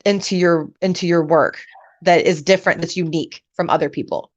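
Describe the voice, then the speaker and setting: A woman's voice: lowish voice, fairly even intonation, a little fast, dry recording, highs cut off. A woman hosting a live career event over a video call, upbeat and laughing often. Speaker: host.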